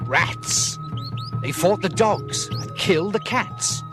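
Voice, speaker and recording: A man playing a theatrical storyteller who recites a rhyming tale in measured, expressive verse. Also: sits high, loud music behind the voice, exaggerated voice. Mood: relishing, dramatic. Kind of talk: dramatic verse narration.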